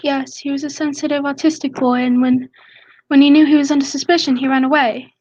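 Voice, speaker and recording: A woman's voice: sits high, level tone, a little fast, darkish tone, muffled recording, natural voice. A woman playing an earnest, pleading young fiancée in a radio drama.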